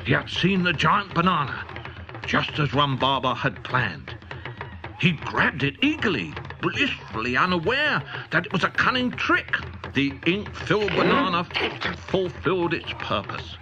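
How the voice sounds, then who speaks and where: A man narrating a children's storybook in a playful, animated way. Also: fairly high pitch, unhurried. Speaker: audiobook narrator. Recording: dull cassette tape with music.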